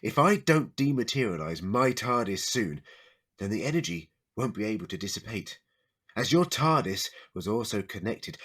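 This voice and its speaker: A man's voice: lowish voice, a bit bright, husky, exaggerated voice. A man playing a heroic, emotional time-travelling alien adventurer in a fan audio drama, with dramatic, emphatic delivery.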